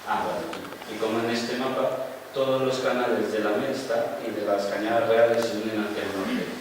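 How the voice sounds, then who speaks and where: A man with a fairly low voice gives a loose, explanatory lecture. He asks himself questions and answers them, filling gaps with "pues" and "eh." He is picked up from far off in a big hall that rings with long echo over steady background noise. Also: normal speed. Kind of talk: informal lecture with slides. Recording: echoey hall, distant mic, background noise.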